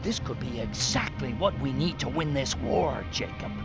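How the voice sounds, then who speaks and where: An actor playing an excitable hacker in a video game, explaining things emphatically in technical jargon. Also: male voice, mid-range voice, moderate pace, lo-fi sound, loud music behind the voice, natural voice. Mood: urgent, emphatic. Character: excitable male tech expert and hacker resistance ally. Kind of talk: video game cutscene acting.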